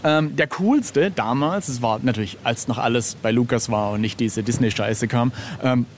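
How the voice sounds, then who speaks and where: A man's voice: medium pitch, moderate pace, slight background noise. A man speaking as an illustrator guest in a casual interview, informal, irreverent and jokey.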